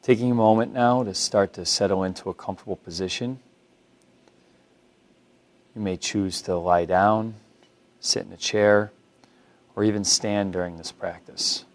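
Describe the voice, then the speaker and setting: Deep-voiced man guiding meditation. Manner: calm, unhurried, gently instructive. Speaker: mindfulness meditation instructor. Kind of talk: guided body scan meditation.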